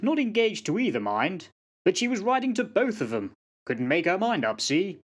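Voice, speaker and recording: A man's voice: sits high, very animated, normal speed. A man playing a fatalistic old sergeant-major in a radio play, telling yarns in a folksy, dramatic way. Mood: storytelling, fatalistic. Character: old soldier sergeant-major, fatalistic yarn-spinning raconteur. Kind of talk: amateur radio play acting.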